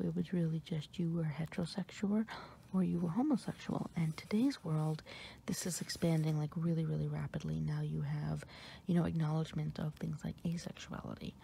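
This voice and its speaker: A woman from New York with an American accent whispers slowly through a relaxed ASMR ramble. Her voice is deep for a woman, dark and heavily gravelly, with a faint hiss of noise behind it. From New York, USA. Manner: soft whisper with many fillers and pauses. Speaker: ASMR creator.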